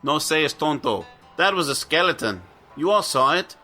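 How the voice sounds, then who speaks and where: An actor playing a down-to-earth farm worker, speaking emphatically in an audio horror drama. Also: male voice, on the high side, expressive, moderate pace, bright, forceful tone, soft background music. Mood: dismissive. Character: sensible, dismissive Mexican farm worker man, friendly.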